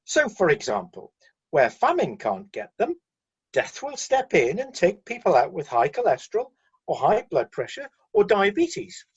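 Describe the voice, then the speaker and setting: A husky, defensive celestial middle manager played by an actor in a satirical radio play. The voice moves in lively swings as it breezily justifies the staff in corporate terms, mildly flustered, on a slightly dull recording. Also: mid-range voice, moderate pace, full character voice.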